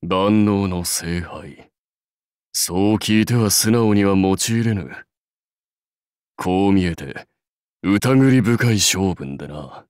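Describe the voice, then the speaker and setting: A proud old-school samurai warlord for a game, lowish voice, really slow and stately, kinda wry. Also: male voice, a little mellow, clear, resonant tone, dry recording, clean studio sound. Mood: grave, advising. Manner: stately, measured, archaic lordly speech. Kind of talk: scripted game character lines.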